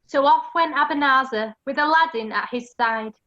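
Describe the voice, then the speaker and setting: A woman playing a cheeky young lad who narrates a pantomime in lively rhyming verse. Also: high voice, normal speed, dull audio. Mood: storytelling.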